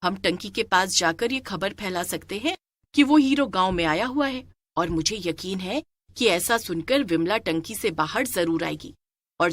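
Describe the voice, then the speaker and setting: A woman voicing a bold, determined village woman in an animated story, speaking assertively and with emphasis. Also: fairly low pitch, no room sound. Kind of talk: animated moral story character acting.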